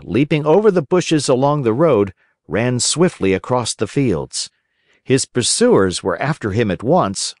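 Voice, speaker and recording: A man reads a children's story in a gentle, expressive manner. His pitch moves in lively swings as he narrates, and the sound is close and dry. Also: no room sound. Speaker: audiobook narrator.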